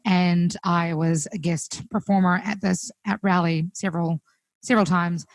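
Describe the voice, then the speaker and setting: A young woman with a New Zealand accent, chatting casually as a guest musician, full of fillers and quick laughs. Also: on the low side, steady tone, no echo. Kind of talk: casual chat on a call. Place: home, recorded remotely.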